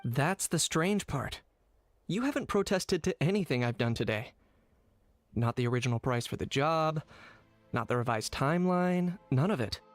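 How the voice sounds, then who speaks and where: A man voicing a terse young mercenary hunter in a video game who talks in a deadpan way. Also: fairly high pitch, normal speed, dry recording, clean studio sound, soft background music, lightly acted. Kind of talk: video game cutscene voice acting.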